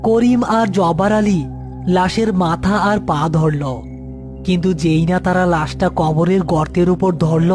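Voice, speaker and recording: A male storyteller delivers scripted horror narration. His voice is high for a man, with faint music underneath. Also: fairly even intonation, moderate pace, a little mellow.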